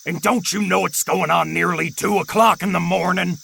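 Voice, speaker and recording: A gruff old man as a male actor plays him, intensely gravelly yet sharp and forceful. He scolds sternly in an unhurried, animated audio drama delivery over faint noise. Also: high-pitched, exaggerated voice. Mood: angry. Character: gruff, angry old male landowner guarding his property.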